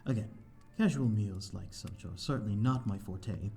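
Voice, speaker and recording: A lonely gentleman vampire, acted by a man, speaks low with a heavy gravelly creak. His phrasing is formal and polite, with hesitant little pauses, as in an intimate ASMR roleplay. Soft music plays behind him. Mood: modest, bashful. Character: courteous, lonely old-fashioned male vampire living in a manor. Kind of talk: slow ASMR audio roleplay, addressing the listener.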